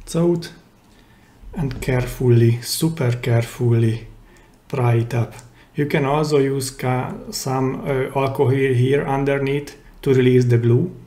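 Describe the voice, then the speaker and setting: A man giving a hands-on, step-by-step repair tutorial in simple, careful phrases with pauses mid-sentence, recorded indoors at a workbench. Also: mid-range voice, fairly even intonation, mellow. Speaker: DIY repair channel host. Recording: roomy, echoey indoor sound.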